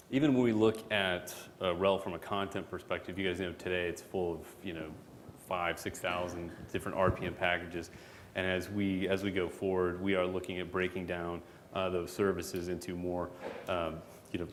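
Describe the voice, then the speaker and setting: Deep-voiced man presenting in a reverberant hall. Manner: relaxed and joking, with many fillers. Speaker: product manager presenting at a conference. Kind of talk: conversational conference talk. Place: conference hall. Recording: hall reverb, faint background noise.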